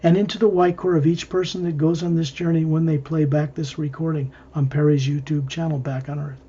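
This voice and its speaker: Husky, mellow man guiding a visualization. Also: fairly high pitch, level tone, brisk, highs cut off. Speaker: guided meditation leader. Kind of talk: improvised guided visualization narration.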